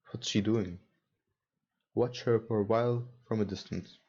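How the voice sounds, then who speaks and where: Guy with a lowish mellow voice, not a native english speaker, reads a visual novel haltingly, music in the back. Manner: hesitant, halting delivery. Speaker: let's play host. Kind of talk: reading visual novel text aloud with casual commentary. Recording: dull lo-fi mic, music behind. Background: game music.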